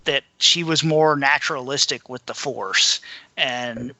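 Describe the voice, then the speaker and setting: A man's voice: medium pitch, measured pace, sharp tone, no room sound. A man co-hosting a podcast in casual chat, thinking aloud as he goes. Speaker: podcast co-host.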